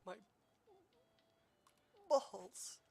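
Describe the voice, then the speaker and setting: A man's voice: very slow, darkish tone, slight background noise. A young man streaming a game, chatting casually in a rambling, self-deprecating way with many fillers, his voice slightly nasal from allergies. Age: young adult.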